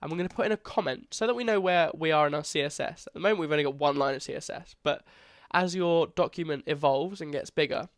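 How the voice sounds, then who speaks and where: A man's voice: on the high side, fast talker, hardly any pauses, no room sound, clean studio sound. A young man, congested, casually talking through a coding tutorial and correcting himself as he goes. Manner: casual, chatty, self-correcting. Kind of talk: screen-recorded coding tutorial.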